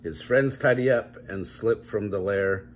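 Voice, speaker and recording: An older man reading a children's story aloud at home in measured, drawn-out storybook phrasing. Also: fairly low pitch, a little hiss or hum. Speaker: grandpa reading a picture book aloud. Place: small home room, indoors.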